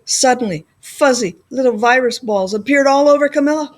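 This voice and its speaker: A woman reading a children's story aloud like a school librarian, phrasing clearly with dramatic emphasis. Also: sits high, moderate pace. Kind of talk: expressive children's storybook narration.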